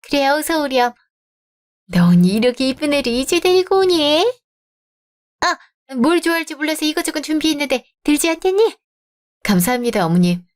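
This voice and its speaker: A woman's voice: high voice, very animated, normal speed, pitched above the performer's own voice. A woman voicing a proud, pushy middle-aged mother who dotes on her son and talks down to his bride in a radio drama. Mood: haughty. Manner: doting on her son, condescending.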